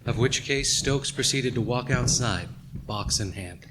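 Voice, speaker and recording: A man's voice: mid-range voice, a little slow, background noise, lightly acted. A man playing a wistful narrator looking back, in scripted radio drama narration. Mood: matter-of-fact. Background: plucked guitar music.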